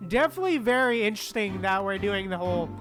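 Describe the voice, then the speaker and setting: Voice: medium pitch, expressive, fast talker, music in the background. A game streamer talking in slangy, casual reactions, muttering and reading on-screen text aloud.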